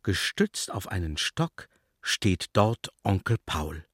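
A man with a lowish voice tells a children's story. His pitch moves in lively swings, and the sound is close and dry. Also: slow, no echo. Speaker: narrator. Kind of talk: scripted storytelling for a children's radio play.

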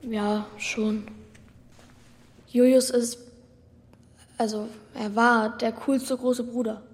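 A woman's voice: a little high, flat delivery, drawn-out words. A woman playing a sad, thoughtful child in a radio play, speaking hesitantly and matter-of-factly. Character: Bela, sad thoughtful child with a comatose brother. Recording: long hall reverb.

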